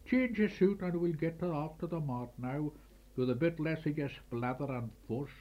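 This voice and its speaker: A man's voice: a little high, animated, normal speed, dull audio. An older man voicing a blunt, scolding old farmer in a recited tale.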